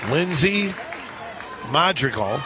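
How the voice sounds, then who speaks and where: An American man doing live radio play-by-play commentary of a softball game. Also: a little high, big pitch swings, takes it slowly. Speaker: radio play-by-play sports announcer. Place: outdoor stadium broadcast position. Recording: crowd noise and music behind commentary. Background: stadium crowd and music.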